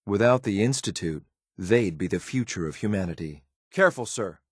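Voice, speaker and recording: A man's voice: on the low side, animated, moderate pace, slightly rough, no echo, studio quality. A man voicing a stern, dutiful synth soldier companion in a video game, speaking in a clipped, matter-of-fact military way with some disdain. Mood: watchful, tactical.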